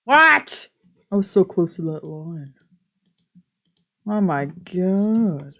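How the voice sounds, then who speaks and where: A woman streamer talking casually and swearing a little as she plays and reads out a visual novel, with a husky voice. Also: lowish voice, sing-song, drawn-out words, fairly bright tone. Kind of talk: casual let's-play with dramatic read-aloud translation. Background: game music faintly behind.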